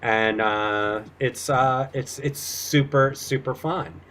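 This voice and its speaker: A man's voice: medium pitch, takes it slowly, a bit bright. Easygoing man chatting as a guest. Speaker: actor and voiceover performer, podcast guest. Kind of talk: casual chat.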